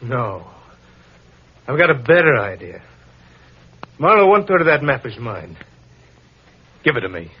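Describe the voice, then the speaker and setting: An actor playing a betrayed, indignant man in a vintage radio melodrama, agitated and dramatic, with a husky voice. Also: medium pitch, sing-song, a little slow, long pauses. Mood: defiant. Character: betrayed indignant man, shocked then defiant. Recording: muffled vintage radio recording.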